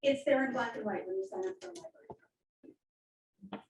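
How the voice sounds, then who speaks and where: A woman's voice: on the high side, animated, brisk, a little mellow. A woman, a parent, delivering a firm, argumentative public comment at a meeting. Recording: echoey room, far from the mic, faint noise.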